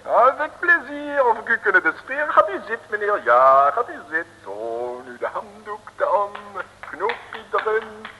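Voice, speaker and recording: An actor playing a theatrical, menacing French barber with a French accent and broken Dutch in an old radio comedy-thriller. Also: male voice, high-pitched, big pitch swings, normal speed, hardly any pauses, sharp tone, heavily acted. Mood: effusive. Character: flamboyant, menacing French barber, secretly an agent. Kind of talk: 1960s radio serial acting. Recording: reverberant, noisy old radio recording.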